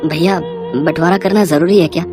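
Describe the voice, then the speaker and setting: A woman's voice: on the low side, fairly even intonation, a little fast, music in the background, pitched below the performer's own voice, natural voice. A woman voicing a simple, trusting younger brother, a village farmer in a moral story, hesitant and deferential. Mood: meek, hesitant.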